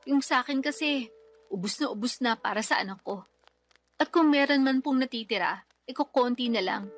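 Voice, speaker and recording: A woman's voice: high-pitched, fairly bright tone. An actress playing a desperate young mother who pleads and defends her choices in a radio drama. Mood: resigned.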